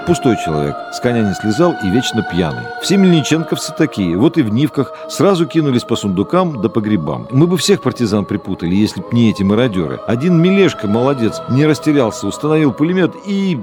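Man playing collaborator testifying, grumbling, lively pitch, radio drama, music underneath. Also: close to an everyday voice. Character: male collaborator giving testimony.

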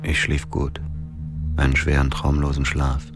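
An actor playing a cold, bitter middle-aged widower bent on revenge, brooding and controlled, in a radio drama. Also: male voice, extremely low pitch, completely flat delivery, measured pace, over loud music. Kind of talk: radio drama narration and dialogue.

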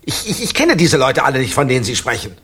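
An actor in a German radio crime drama playing an anguished, formal amnesiac man who speaks haltingly, with a husky, gravelly voice. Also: medium pitch, big pitch swings, a bit bright, exaggerated voice. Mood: troubled. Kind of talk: German radio play acting.

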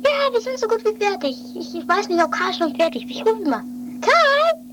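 A woman playing an excitable, anxious wife in an improvised amateur comedy radio play, chatty and theatrical. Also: very high-pitched, normal speed. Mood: excited. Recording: noisy 1980s amateur recording.

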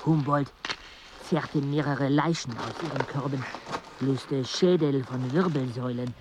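A man acting as a travelling companion recounting a grim expedition in a radio play. His voice sits at a middle pitch and his telling is measured and literary, with clear insect ambience behind him. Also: background noise, lightly acted. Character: male expedition companion narrating a grim jungle journey.

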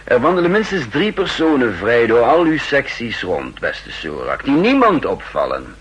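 An actor playing a shrewd, self-assured chief of counter-espionage in a 1960s radio drama, pedantic, dryly ironic and in command. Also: male voice, mid-range voice, huge pitch swings, normal speed, fairly bright tone, breathy edge, highs cut off, heavily acted. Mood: firm, brisk. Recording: old 1960s radio recording.

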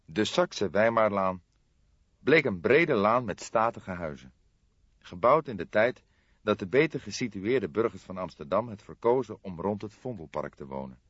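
A man's voice: on the low side, animated, moderate pace, a bit bright, husky, no room sound, muffled recording. A man reading scripted literary narration as an audiobook narrator.

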